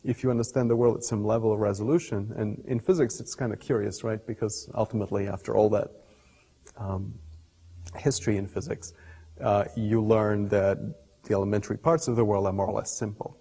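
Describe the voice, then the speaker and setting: Middle aged guy, mellow creaky voice, academic interview chat, keeps rephrasing himself, long reverb on it. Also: fairly low pitch, steady tone. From Portugal. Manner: thoughtful, halting, often rephrasing mid-sentence. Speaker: scientist and professor being interviewed. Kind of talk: reflective interview talk, explaining ideas. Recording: reverberant hall-like room echo.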